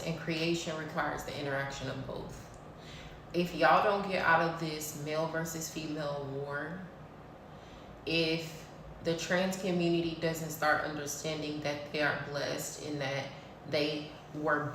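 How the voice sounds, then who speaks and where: A woman in her thirties talks in a blunt, preachy way about spiritual laws, swearing freely as she goes. Her voice sits a little low. She is recorded from a distance in a very echoey room, with faint background noise. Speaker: spiritual vlogger. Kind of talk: casual spiritual talk to camera.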